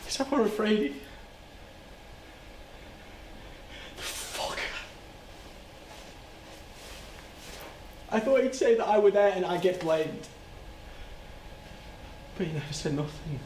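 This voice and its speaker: A man's voice: high-pitched, animated, a little mellow. Guilt-ridden working-class man, echoey stage. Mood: guilty, bitter. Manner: halting, plain-spoken, repeats and stumbles over words. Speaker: stage actor. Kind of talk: live theatrical monologue. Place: indoor soundstage. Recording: roomy, noisy soundstage livestream.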